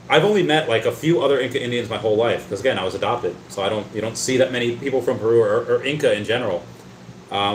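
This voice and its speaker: A man's voice: mid-range voice, slight background noise. A man hosting a casual livestream, reading out chat comments and rambling, often stammering and repeating his words. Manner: rambling, talkative. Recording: roomy echo.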